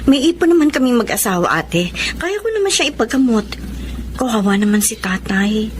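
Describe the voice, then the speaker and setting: An actress playing a compassionate, gentle younger sister pleading for peace in a Filipino radio drama. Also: female voice, fairly high pitch, big pitch swings, brisk, a little hiss or hum. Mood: concerned, pitying. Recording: loud music bed under the voice.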